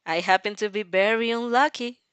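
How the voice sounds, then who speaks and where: A woman's voice: medium pitch, fairly quick, fairly bright tone, clear, resonant tone, dry recording, lightly acted. A woman voicing a polite, guarded and stubborn young woman from anime fan fiction, in a formal, reserved manner.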